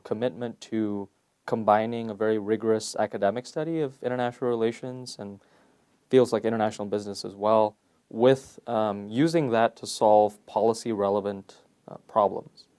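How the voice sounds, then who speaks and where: A young man from Malaysia, a PhD candidate answering interview questions in a measured, thoughtful way with frequent fillers. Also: lowish voice, darkish tone. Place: conference venue.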